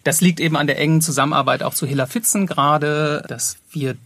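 A man's voice: fairly high pitch, steady tone, brisk, fairly bright tone, no room sound. A man speaking casually as an audio drama producer and composer being interviewed.

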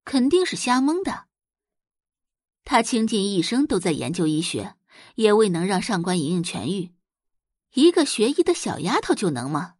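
A woman's voice: medium pitch, very animated, moderate pace, darkish tone, dry recording, studio quality. A woman reading dramatic scripted narration as an audiobook narrator.

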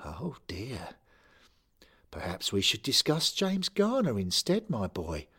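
A man voicing a gentle 92-year-old manager who speaks softly and hesitantly, stammering at times. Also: medium pitch, wildly swooping pitch, measured pace, breathy edge, pitched above the performer's own voice, full character voice. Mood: soothing. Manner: hesitant, soft, stammering, fatherly.

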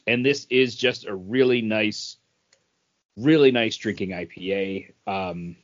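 A man's voice: on the low side, slow, highs cut off. A man co-hosting a podcast, talking casually as if reviewing things among friends. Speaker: podcast co-host. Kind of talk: casual chat, reviewing beer.

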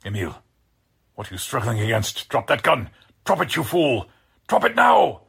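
An actor playing a deranged, coldly polite mad scientist in 1940s radio drama style, speaking in a deliberate, menacingly formal manner with a husky, creaky voice. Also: male voice, medium pitch, huge pitch swings, fairly bright tone, heavily acted. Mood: panicked fury.

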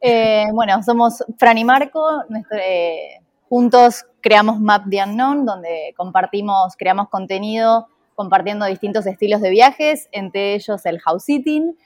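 An Argentine woman talks casually as a podcast guest, friendly and quick to laugh. Her middle-pitched voice is recorded dry and close, with no room sound. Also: no room sound. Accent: Argentine Spanish. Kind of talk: casual interview chat.

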